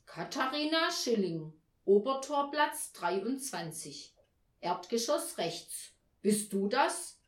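A woman's voice: big pitch swings, slow. Woman acting stern, emphatic old Saint Nicholas, echoey room. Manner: stern, booming, interrogating.

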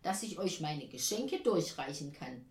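A woman storyteller acts out a storybook Saint Nicholas, a man who coaxes and gently teases. The words are drawn out with hearty emphasis, and the room echoes. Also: low-pitched, very animated, voice pushed down, heavily acted. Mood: persuasive. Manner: slow, drawn-out, emphatic coaxing. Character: coaxing, gently teasing male Saint Nicholas visitor. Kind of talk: children's storybook character voice.

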